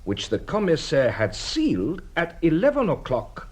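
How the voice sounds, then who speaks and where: An actor playing a shrewd, courteous police detective in a radio drama, a husky voice and a formal, measured, theatrical manner. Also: male voice, a little high, huge pitch swings, darkish tone, full character voice. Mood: explaining.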